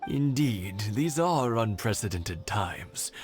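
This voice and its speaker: A man's voice: lowish voice, slow pace, faint music behind. A genial, lilting old village man. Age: older. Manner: genial, polite, expressive. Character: elderly village man, courteous, warm-hearted host. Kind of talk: anime-style video game voice acting.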